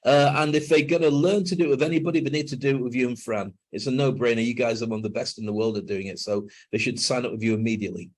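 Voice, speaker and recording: An older man speaking as a guest on a video call interview, emphatic and animated when making a point. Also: mid-range voice, quick pace, few pauses. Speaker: guest, NLP trainer and speaker.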